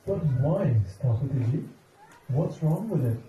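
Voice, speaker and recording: A man's voice: on the high side, animated, a little slow, warm tone, a little hiss or hum. A man playing an earnest, devout temple devotee on a quest in an amateur stage drama, declaiming and pleading. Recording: echoey hall, distant mic, music behind.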